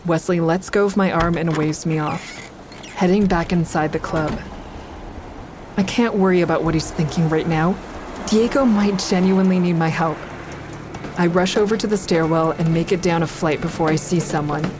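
An actress playing a wary, anxious woman who narrates an audio drama in the first person, measured and confiding. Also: on the low side, background noise, close to an everyday voice. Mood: tense, measured.

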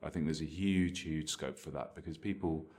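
A man giving conversational interview answers as an expert, explaining his opinions in a measured way. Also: rumbling low, a little mellow. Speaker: interviewed marketing technology expert.

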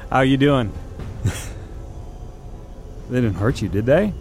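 A male corporate official in a naturalistic audio drama. He is falsely affable and halting, taking his time in a mid-pitched voice over faint ambience. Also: animated, background noise. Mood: falsely friendly. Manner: halting, casual, chuckling under threats.

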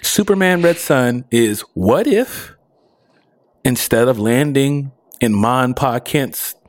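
A man's voice: medium pitch, lively intonation, takes it slowly, dry recording, clean studio sound. A man co-hosting a podcast in casual chat, asking question after question and laughing often. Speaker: podcast co-host. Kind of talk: casual pop culture chat.

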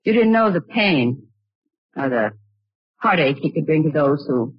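A muffled vintage radio recording of a bitter young widow. She sounds slightly husky and world-weary, numb yet defiant, with dramatic delivery. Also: low-pitched, animated, a little slow, no echo, exaggerated voice. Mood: numb, hurt. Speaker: radio actress. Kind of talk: 1940s radio acting.

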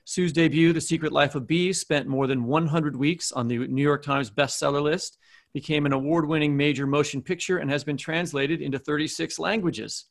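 A man's voice: fairly high pitch, fairly even intonation, normal speed, vocal fry. A man co-hosting a podcast, giving introductions and putting questions to a guest. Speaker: podcast co-host.

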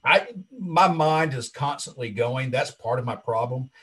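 Man, late 50s, husky voice, mid pitch, talkative, self-assured, casual interview guest. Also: measured pace, fairly bright tone. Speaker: interview guest, wrestling commentator and video creator.

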